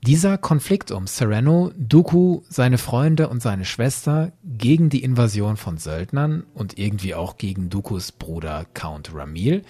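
Male podcast host, mid-pitched, lively intonation, slightly husky mellow tone, explanatory scripted narration. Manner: explanatory, poses rhetorical questions.

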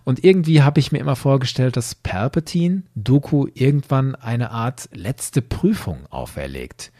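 A man narrating a scripted podcast commentary, explaining with deliberate emphasis. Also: medium pitch, big pitch swings, dark tone, no room sound, studio quality. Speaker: podcast host.

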